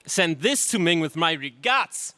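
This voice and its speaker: An actor playing a gallant, fatalistic prince ally in a stagey 1930s space radio serial style. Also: male voice, fairly high pitch, sing-song, measured pace, heavy background noise. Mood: urgent, hearty.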